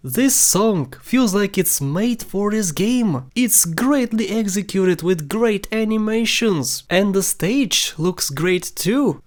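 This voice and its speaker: Someone narrating a top ten game list, scripted, mid pitch voice. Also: a little mellow.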